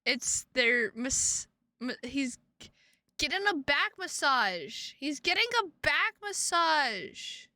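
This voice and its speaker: A woman live streaming games, chatting casually with viewers in an excitable, sweary way and repeating her phrases for emphasis. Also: high-pitched, slow, no echo. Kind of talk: casual livestream chat with viewers.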